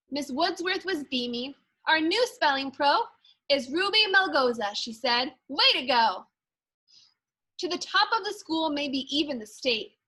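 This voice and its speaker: Female primary teacher for storybook read-aloud: high-pitched, bright, expressive, deliberate with dramatic emphasis. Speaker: second grade teacher. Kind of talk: expressive children's picture-book read-aloud.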